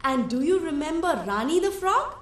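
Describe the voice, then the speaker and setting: A woman leads a children's rhyme lesson. Her voice sits high and swoops widely, and she speaks slowly with playful exaggeration. The studio sound is roomy, picked up from a distance. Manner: slow, animated, exaggerated for children. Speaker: English teacher. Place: indoor TV studio set.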